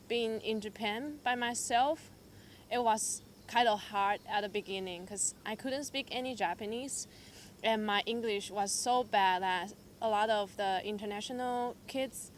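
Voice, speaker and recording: A woman's voice: mid-range voice, a little hiss or hum. Young woman from Taiwan telling her story. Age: young adult. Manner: hesitant, filler-heavy storytelling with lively quoted asides. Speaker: church member sharing testimony. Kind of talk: personal testimony.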